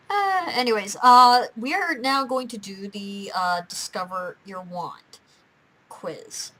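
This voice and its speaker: A woman's voice: a little high, expressive, slow pace, pauses often, bright, forceful tone, a little hiss or hum, poor audio. A young woman hosting a casual chat, talking in a giggly, rambling way. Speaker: host of a fan gaming and quiz show.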